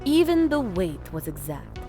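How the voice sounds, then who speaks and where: A woman's voice: mid-range voice, very animated, measured pace, dark tone. A woman playing a scornful, imperious female crime boss in an audio drama. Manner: contemptuous, imperious. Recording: music behind the voice.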